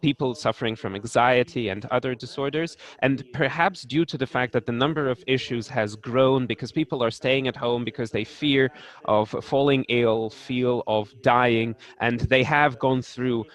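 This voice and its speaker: A man's voice: mid-range voice, moderate pace. A man speaking in an online panel discussion, hesitant, with frequent restarts and fillers.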